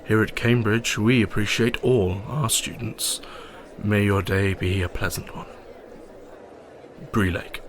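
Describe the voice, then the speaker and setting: An actor voicing a formal, impersonal university message in an audio drama, detached and official. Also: male voice, on the low side, steady tone, slow, slight background noise. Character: impersonal university system issuing formal greetings.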